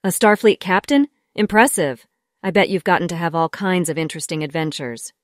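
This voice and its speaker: Low-pitched, flirtatious colony assistant, played by a woman. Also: brisk, no echo, studio quality, lightly acted. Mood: impressed, flirtatious. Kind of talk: audio drama acting.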